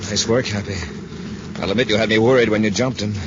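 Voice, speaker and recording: An actor playing a calm, decisive space commander, level-headed and authoritative, in 1950s radio serial style. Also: male voice, lowish voice, quick pace, close to an everyday voice. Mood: calm, dry. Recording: noisy, muffled 1950s radio recording.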